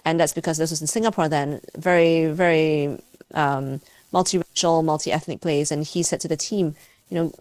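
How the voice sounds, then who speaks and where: A woman's voice: low voice, fairly even intonation, a little mellow, dry recording. A woman from Malaysia, a scientist giving reflective, conversational interview answers, searching for words as she goes. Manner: halting, thoughtful, searching for words. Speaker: scientist and university teacher, interview guest.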